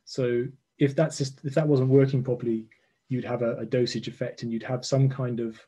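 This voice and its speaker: A man in his forties, a scientist explaining his research over a video call from a room at home, thoughtful and full of pauses and fillers. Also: medium pitch, flat delivery, dark tone, slightly rough. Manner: thoughtful, hesitant, many fillers and restarts. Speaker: genomics lecturer, guest scientist. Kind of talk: explaining research in a live online interview. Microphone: video call webcam.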